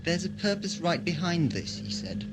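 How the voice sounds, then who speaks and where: A man playing a henchman under loud music. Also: high-pitched, big pitch swings, moderate pace, rough recording. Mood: insistent. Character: put-upon male henchman, assistant to a villainous lord. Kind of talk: science fiction audio drama acting.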